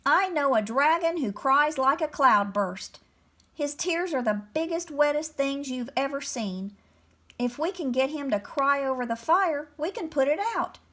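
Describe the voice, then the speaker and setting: A woman voicing a small, earnest male mole in a storybook, squeaky, pleading and coaxing. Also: animated, measured pace, sharp tone, full character voice. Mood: urgent.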